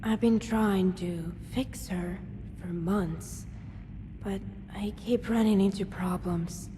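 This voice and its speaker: Woman acting wary young survivor gal for a game, slow n dry, long reverb, noisy background. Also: medium pitch, fairly even intonation, natural voice. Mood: earnest, worried.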